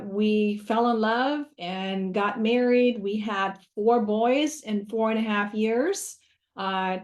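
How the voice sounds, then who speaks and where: A middle-aged woman from Germany, a native German speaker, telling her life story at an online open mic, measured and pausing often with fillers. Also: on the high side, a little mellow. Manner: measured, with frequent filler pauses. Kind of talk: personal story told at a networking event. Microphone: video call.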